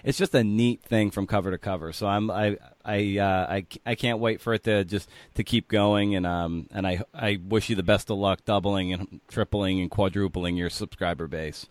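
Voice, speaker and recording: Low-voiced male podcast host, dry sound. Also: steady tone, moderate pace, hardly any pauses, dry recording. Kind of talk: casual conversational interviewing.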